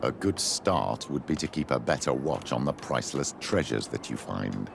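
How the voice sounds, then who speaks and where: A man playing a grave older priest in a video game, wry, cautious and gravelly, with a dry, admonishing manner. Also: a bass voice, lively intonation, normal speed, background noise, full character voice. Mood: dry reproach. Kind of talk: video game dialogue acting.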